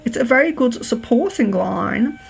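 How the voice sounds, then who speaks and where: An Englishwoman with a faint Liverpool accent hosts a palm reading livestream. She speaks slowly and carefully in a deliberately clear telephone voice. Her talk is loose and unscripted, full of ums and small restarts. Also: a little high, lively intonation, slight background noise. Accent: Liverpool, slight. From England. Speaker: psychic livestream host.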